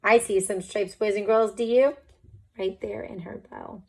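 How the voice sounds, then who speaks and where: A woman's voice: medium pitch, fairly even intonation, a little slow, gravelly, darkish tone. A woman reading a picture book aloud to children, expressively and with dramatic emphasis.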